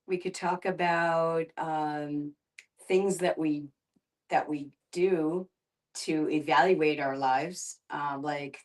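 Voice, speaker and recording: Lower-pitched woman, hesitant and conversational. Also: fairly even intonation, slow. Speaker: discussion facilitator. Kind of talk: casual group discussion.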